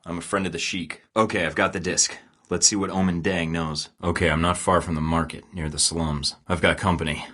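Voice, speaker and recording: A man's voice: sits low, steady tone, brisk, few pauses, sharp tone. An actor playing a wry, sarcastic male spy in a video game, delivering dry quips. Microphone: studio mic.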